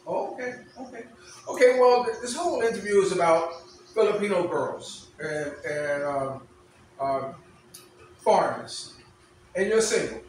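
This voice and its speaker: A man hosts a playful, teasing interview, often halting on his fillers. His voice sits high for a man. He is recorded from a distance in a very echoey room. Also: lively intonation, slight background noise. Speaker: host. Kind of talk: casual mock late-night interview. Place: room with a fan running.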